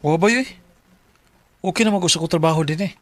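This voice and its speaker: A man's voice: fairly high pitch, fast talker, fairly bright tone, no echo, close to an everyday voice. An actor playing a brash, easily flustered young man in a Bisaya radio drama, with a Cebuano Filipino accent. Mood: subdued. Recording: clearly noisy track with clicks.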